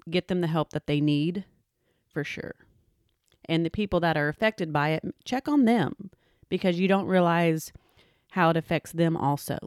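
A woman with a fairly low voice, chatting earnestly as a podcast co-host. Her speech is conversational and unhurried. The sound is dry and close. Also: darkish tone, clear, resonant tone, dry recording. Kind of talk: casual chat.

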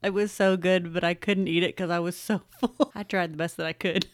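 A woman co-hosting a casual chat, relaxed and quick to laugh. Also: fairly low pitch, no echo, studio quality.

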